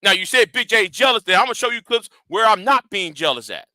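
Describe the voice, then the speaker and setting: A man with a high voice and a hard, sharp edge, ranting in a commentary vlog. He swears freely, stumbles over repeated words and talks straight at his critics. The sound is dry and close. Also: big pitch swings, fast, dry recording. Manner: confrontational and profane, repeats and restarts words; challenging his audience directly. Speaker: YouTube commentator.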